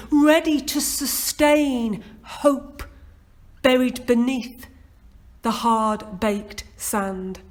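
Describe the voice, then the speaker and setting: Middle-aged woman poet, slightly high voice, unhurried reflective recitation. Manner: slow, measured, expressive recitation. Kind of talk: poetry reading.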